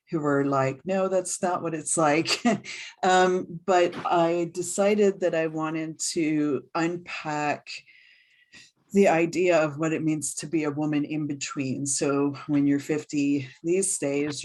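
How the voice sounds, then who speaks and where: A woman in her fifties from California talks about her book on a video call from a small room at home, relaxed and chatty, with frequent fillers. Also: low-pitched. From Oakland, California. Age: 50s. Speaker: author. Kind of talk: casual talk on a video call.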